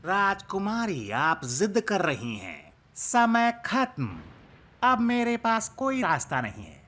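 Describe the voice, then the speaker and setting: A man's voice: high voice, wildly swooping pitch, slow, a bit bright, exaggerated voice. A man voicing a smug, scheming male court villain in a cartoon dub, with an insinuating, theatrical way of talking. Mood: sly, menacing.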